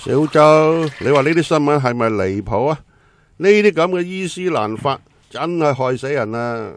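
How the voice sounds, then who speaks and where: A man's voice: a little high, animated, moderate pace, a little hiss or hum. An actor playing an opinionated, exclamatory male teahouse customer with a Hong Kong accent in a radio drama. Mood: outraged.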